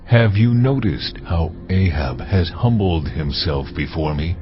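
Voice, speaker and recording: Divine voice for scripture drama: very low, solemn, unhurried authority, music behind. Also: male voice, expressive. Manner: grave, deliberate. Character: God, solemn, majestic and slow. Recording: dull audio with music behind.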